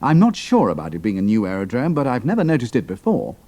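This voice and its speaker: An actor playing a shrewd, cool-headed British pilot in an audio drama, speaking in a clipped, dry, understated manner. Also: male voice, mid-range voice, huge pitch swings, fast, dark tone, no echo. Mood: guarded, dutiful.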